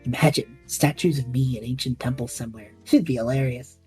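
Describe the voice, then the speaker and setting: A woman's voice: a bass voice, brisk, darkish tone, soft background music. A woman voicing a cocky, careless male student in an audio drama, casual and flippant. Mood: smug.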